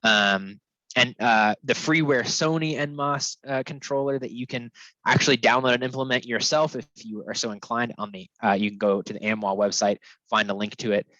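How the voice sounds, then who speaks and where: A man's voice: medium pitch, fairly even intonation, normal speed, sharp tone, dry recording. Man explaining tech, dull dry recording. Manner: conversational, often checks in with "right?". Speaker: technical presenter. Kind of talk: informal webinar explanation with analogies. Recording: dull, lo-fi, dry close sound.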